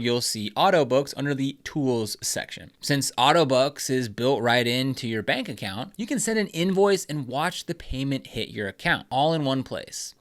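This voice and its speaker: A man presenting a step-by-step software tutorial voice-over, upbeat and instructive, walking through the screen as he clicks. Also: mid-range voice.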